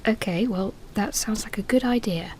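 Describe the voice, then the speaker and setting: A self-conscious woman teacher in a radio play, quick to apologise and second-guess herself, as an actress plays her. Her voice sits at an ordinary middle pitch. A faint hiss of noise runs under the recording. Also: a little mellow, natural voice. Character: self-doubting woman teacher, later a patient mother. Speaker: English teacher. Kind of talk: radio drama acting.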